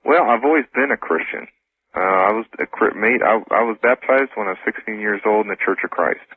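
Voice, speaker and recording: A man with a low voice talks as a guest on a radio show. He explains things in a casual, halting, informal way over a tinny, phone-like connection. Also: slightly rough. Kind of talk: casual interview talk.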